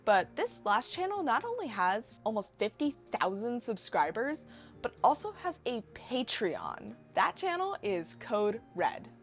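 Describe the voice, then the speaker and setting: A woman's voice: a little high, big pitch swings, unhurried, soft background music. A woman in her twenties narrating a casual scripted video essay commentary, wry and given to sarcastic asides. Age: 20s.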